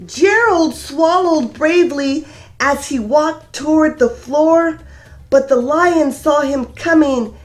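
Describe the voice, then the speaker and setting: A woman reading a picture book aloud as a storytime host, expressive and sing-song as she talks directly to young children. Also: high voice, takes it slowly.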